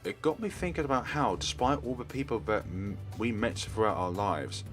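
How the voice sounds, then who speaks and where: Low-voiced man reading dramatically with lively swings. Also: normal speed. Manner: reads in character, shifting between parts. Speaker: let's play host. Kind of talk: dramatic read-aloud of visual novel text. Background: soft game music.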